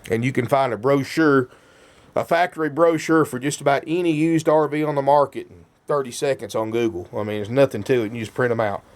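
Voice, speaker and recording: A man's voice: medium pitch, fairly even intonation, a little fast. Folksy RV salesman with a cold. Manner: folksy, keeps saying "folks". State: has a cold. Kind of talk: walkthrough sales pitch. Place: inside a motorhome.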